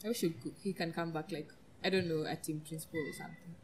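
A woman's voice: sits low, steady tone, fast talker, slight background noise. A woman co-hosting a podcast in casual, conversational chat. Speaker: podcast co-host.

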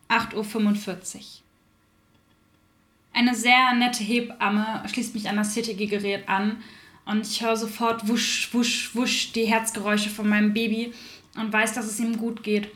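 A woman's voice: fairly high pitch, flat delivery, a little slow, reverberant. A woman voicing an outspoken young mother recounting her birth, in a theater radio play monologue. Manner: forceful, outspoken.

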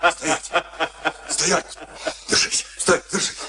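An actor in a Soviet radio play, playing an obsequious, urgent underling of an SS officer. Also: male voice, slow, sharp tone. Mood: urgent, servile. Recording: echoey archival radio drama recording.

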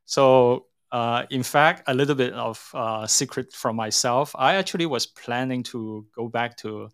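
A man's voice: medium pitch, measured pace, a bit bright, smooth. A middle-aged man with a Hong Kong accent, answering interview questions in a hesitant, explanatory way, often rewording as he goes. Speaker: interview guest, tech company CEO.

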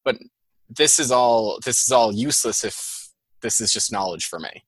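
Bright, chatty Boston man. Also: medium pitch, lively intonation, breathy edge, dry recording, poor audio. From Boston, USA. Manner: chatty, fast-flowing explanations with many "like"s. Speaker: guest, data scientist. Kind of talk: casual interview chat.

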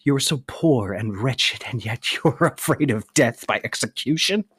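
A man playing an anguished, resolute young nobleman in a dramatic reading of a stage tragedy, declaiming deliberately. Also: medium pitch, expressive, fairly bright tone, breathy edge, dry recording, studio quality, voice pushed up. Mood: urgent, forceful.

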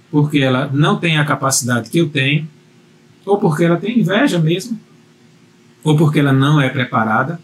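A man with a slightly high voice gives a motivational video lesson on speaking and self-confidence. He talks straight to the viewer in an earnest, repetitive way, pressing each point home. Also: slight background noise, poor audio. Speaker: communication coach.

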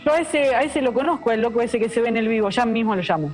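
A woman's voice: fairly high pitch, expressive, fast talker, darkish tone, soft background music, lightly acted. A woman playing a jittery, fatalistic radio sidekick with a Buenos Aires accent, blunt and slangy in a radio drama. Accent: Buenos Aires Argentine.

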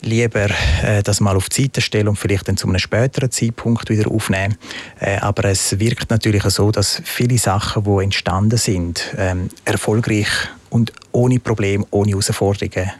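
A man's voice: lowish voice, steady tone, moderate pace, no echo. A young Swiss man with a Swiss German accent, a business founder talking casually in an interview and hesitating often with fillers. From Switzerland. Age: young adult. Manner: hesitant, many fillers and restarts. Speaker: entrepreneur guest.

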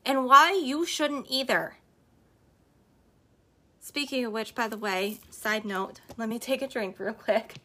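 A woman with a high, bright voice and a slight hoarseness talks straight at her listeners. She delivers business advice as a host, emphatic and repetitive, driving each point home. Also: takes it slowly, long pauses. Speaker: podcast host and network marketer. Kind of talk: motivational business talk on a live stream.

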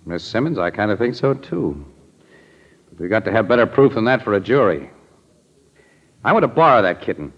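An actor playing a brisk, slangy American newspaper crime photographer in a 1940s radio drama. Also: male voice, on the low side, big pitch swings, breathy edge, exaggerated voice. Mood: determined. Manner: colloquial, slangy. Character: brisk, wisecracking male newspaper crime photographer, hunch-driven. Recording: muffled, echoey old-time radio recording.

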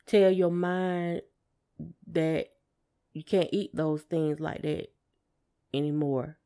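A woman's voice: low-pitched, fairly even intonation, takes it slowly, mellow. A woman co-hosting a podcast in a casual, conversational style. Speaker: podcast co-host.

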